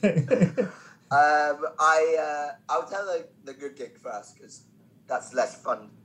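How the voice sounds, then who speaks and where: A man's voice: on the high side, lively intonation, slow, a bit bright, low-quality recording. A man speaking as a podcast guest, a stand-up comedian telling anecdotes conversationally with self-deprecating, wry asides.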